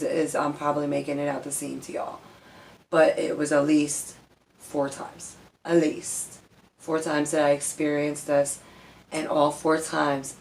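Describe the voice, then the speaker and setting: A woman telling personal stories casually as a vlog host, in a candid, rambling, emphatic way with some swearing. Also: deep voice. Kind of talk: casual personal storytelling to camera.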